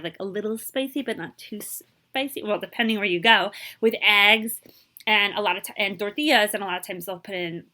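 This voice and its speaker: A woman reading a children's picture book aloud in an expressive way, pausing to explain and translate Spanish words. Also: medium pitch.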